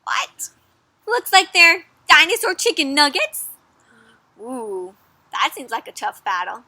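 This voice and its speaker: A young woman reads a picture book aloud to children with lively, theatrical expression. Her voice is high and bright. The recording is dry and close, with little room sound. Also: no echo. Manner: expressive, asks children questions, gasps and dramatic pauses. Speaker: storyteller reading to children. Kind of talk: animated children's read-aloud.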